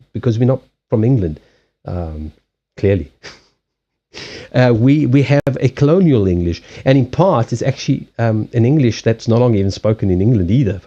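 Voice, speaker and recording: South African-accented male streamer, Portuguese native, rambling and amused, husky and mellow, slightly muffled mic. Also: medium pitch. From Mozambique. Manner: rambling, amused, self-correcting. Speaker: streamer doing card readings. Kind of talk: casual live-stream chat.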